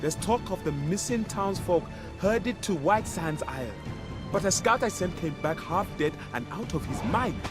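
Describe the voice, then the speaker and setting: A grim, embittered guard of a troubled town. His fairly high voice moves widely as he broods with dramatic earnestness. Fantasy game music sits behind him. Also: expressive, moderate pace. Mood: grave, urgent. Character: grim, embittered male city guard, loyal to townsfolk. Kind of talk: fantasy video game voice acting.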